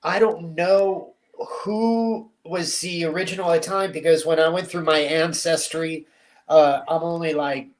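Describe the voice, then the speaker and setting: A middle-aged man born in Cuba, a guest telling family stories in a relaxed, easy way and laughing often. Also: on the high side, a little slow. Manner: relaxed storyteller, laughs easily. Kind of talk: casual interview chat.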